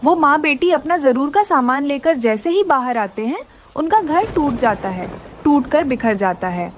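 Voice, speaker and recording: A woman's voice: high voice, lively intonation, normal speed, a little hiss or hum. A woman reading scripted narration for a children's cartoon story.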